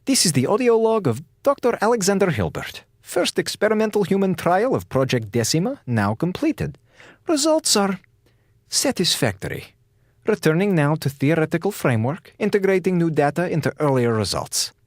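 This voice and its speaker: A man's voice: sits high, very animated, moderate pace, no echo, clean studio sound. An actor playing a cold, brusque male doctor and scientist on a space station in a radio drama, speaking in formal, clipped phrases without articles. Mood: clinical, coolly satisfied.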